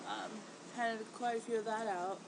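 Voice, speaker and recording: A chatty woman co-hosts a casual podcast, her voice stuffy and congested from a cold. She sits a little high for a woman and laughs easily. There is a clear background hiss on the recording. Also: big pitch swings, normal speed. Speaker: podcast co-host, groomer. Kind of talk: casual chat. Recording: noisy home recording.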